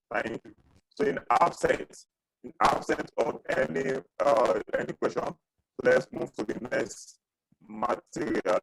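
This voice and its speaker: A man in his thirties teaching an accounting class over a video call, repeating himself and pausing often. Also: lowish voice, creaky, dry recording, low-quality recording. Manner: repetitive, halting, asks for questions. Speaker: accounting lecturer. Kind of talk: online class lecture. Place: small indoor room. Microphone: webcam video call with earphones.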